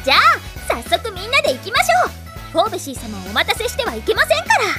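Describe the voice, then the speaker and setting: A woman's voice: very high voice, wildly swooping pitch, racing, music in the background, exaggerated voice. A woman playing a dutiful, stubborn young maid in an anime-style audio drama, speaking politely, earnestly and firmly. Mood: eager, polite.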